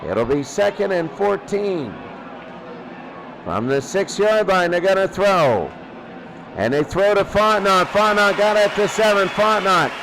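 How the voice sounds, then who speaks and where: A man's voice: high-pitched, lively intonation, unhurried, crowd noise, noisy background. A man doing live radio play-by-play football commentary in clipped phrases that he often repeats. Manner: repeats phrases, clipped present-tense calls.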